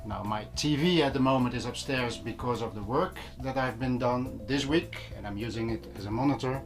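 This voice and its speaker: A man's voice: mid-range voice, brisk. Guy doing a casual home vlog, explains stuff conversationally, bit of music in the back. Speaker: audio vlogger. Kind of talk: casual vlog talk to viewers. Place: small room at home. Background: music playing.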